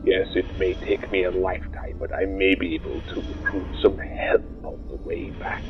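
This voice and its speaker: Guy doing a menacing alien soldier, really low flat voice, proud and vengeful, muffled sound, sci-fi audio drama. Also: normal speed, lightly acted. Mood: bitter, threatening. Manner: menacing, declamatory, militant. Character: vengeful, proud alien Sontaran warrior, male. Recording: dull muffled lo-fi sound with music.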